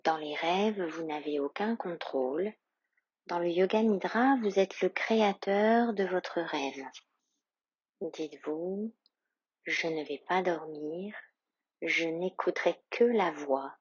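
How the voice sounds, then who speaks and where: A woman yoga instructor leading a guided meditation in a calm, soothing, soft and breathy voice with long, measured pauses. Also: fairly low pitch, dry recording, lo-fi sound.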